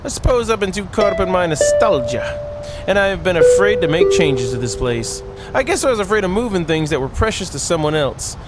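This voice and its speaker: A man as a wistful, high-voiced lonely homebody. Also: very animated, fairly quick, loud music behind the voice. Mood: wistful, hesitant. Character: lonely, wistful, nostalgic dweller of a rundown house.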